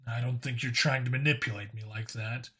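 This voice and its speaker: A blunt human cop acted by a male reader with a middling pitch and a bright edge. He talks casually and keeps it low-key, with a wry turn, as a character in an audiobook. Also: steady tone, a little fast, lightly acted. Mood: sober.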